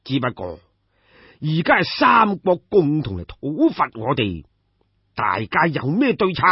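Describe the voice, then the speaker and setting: A man with a Cantonese accent voicing a weary, authoritative old king of ancient China, slow and pondering, in an audio story. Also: fairly high pitch, wildly swooping pitch, husky, dry recording, dull audio, heavily acted. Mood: deliberating.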